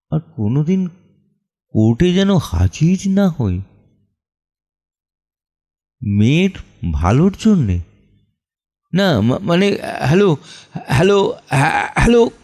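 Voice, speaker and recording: A man playing an anguished, protective middle-aged father in an audio drama monologue, with halting, emotive delivery full of pleas and pauses. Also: on the high side, a little mellow, heavily acted. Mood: bewildered, uneasy. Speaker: voice actor and reciter. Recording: music under the voice. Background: background music.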